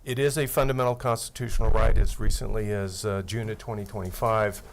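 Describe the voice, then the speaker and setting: A man's voice: lowish voice, level tone, fairly quick, background noise. A man in his sixties, an attorney, testifying methodically before a legislative committee at a table microphone. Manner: earnest, methodical, reads legal language aloud. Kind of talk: formal testimony.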